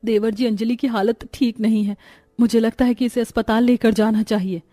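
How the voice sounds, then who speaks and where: A woman's voice: fairly high pitch, steady tone, normal speed, warm tone, no room sound, studio quality, soft background music, natural voice. A woman playing a meek, suffering young pregnant daughter-in-law in a cartoon story, speaking timidly and plaintively. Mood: concerned. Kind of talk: Hindi cartoon story voice acting.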